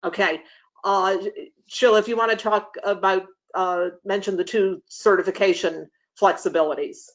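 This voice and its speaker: A woman giving a policy briefing in a measured, formal, explanatory way. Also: medium pitch, fairly even intonation. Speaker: policy presenter. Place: online video meeting. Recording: dull lo-fi video call audio.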